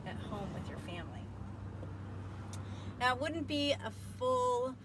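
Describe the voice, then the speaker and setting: A woman reading aloud to young children like a school librarian, with an expressive, clearly enunciated delivery. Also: mid-range voice, background noise.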